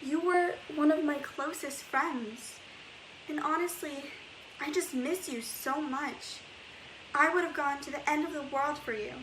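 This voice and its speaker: An earnest teenage girl in mourning played by a young woman delivers a stage monologue. Her voice is high and bright, with faint noise behind it. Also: unhurried, poor audio. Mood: earnest grief. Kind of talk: stage monologue as spoken letter.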